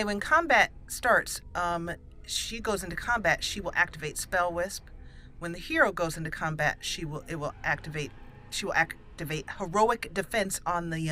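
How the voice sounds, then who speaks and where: A woman's voice: fairly low pitch, bright, forceful tone. A woman giving chatty, playful gameplay commentary as a let's play host, casual with some roleplay.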